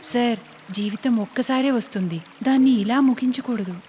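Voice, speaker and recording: A woman's voice: on the high side, normal speed, darkish tone, lightly acted. A woman voicing a plucky young village vegetable seller in a cartoon story, speaking earnestly and respectfully. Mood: earnest, encouraging. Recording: long reverb, music behind.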